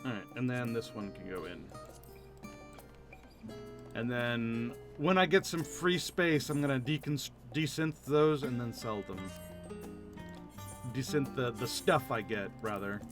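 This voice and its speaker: A man's voice: mid-range voice, unhurried, pauses often, music in the background. A man chatting casually on a livestream while he plays a game. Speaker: game streamer.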